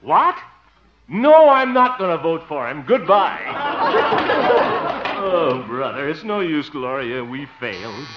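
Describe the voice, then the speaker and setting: A bumbling small-town fellow in an old radio sitcom. His fairly high voice swings around as he exclaims and mutters in exasperation. The sound is muffled, like a 1950 broadcast. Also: very animated, slow, long pauses, over a noisy crowd, very noisy. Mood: exasperated. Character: flustered, bumbling small-town radio station employee, mustached man. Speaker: actor in radio comedy. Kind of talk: 1950s radio sitcom acting.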